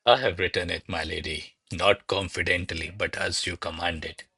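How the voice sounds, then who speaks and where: An actor playing courtly men of a classical comedy in a radio play, with formal, florid theatrical delivery. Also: male voice, low voice, fairly even intonation, normal speed, pushed and bright, husky, no echo, clean studio sound, full character voice. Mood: deferential. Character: courtly servants and grandiloquent noble suitor of classical Spanish comedy. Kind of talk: stage-style radio play acting.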